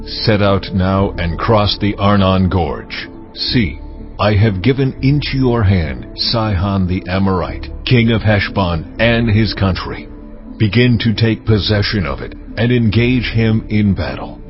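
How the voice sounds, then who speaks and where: A man's voice: lowish voice, unhurried, lightly acted. A man voicing the Lord as a solemn, commanding divine male voice in a dramatized scripture reading. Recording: dull lo-fi audio with faint music.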